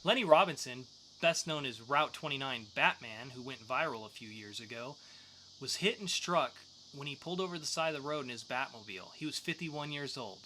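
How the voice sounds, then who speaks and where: A man hosts a geek news and review podcast with a bright, forward voice. His delivery is casual and joking, and there is faint noise behind him. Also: mid-range voice, animated, a little fast. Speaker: podcast co-host. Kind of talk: news segment and comics review.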